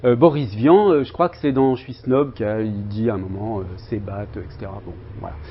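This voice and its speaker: A man's voice: lowish voice, brisk, slightly rough, muffled recording. A man lecturing in a university linguistics seminar, talking loosely with students and hesitating often with fillers and restarts.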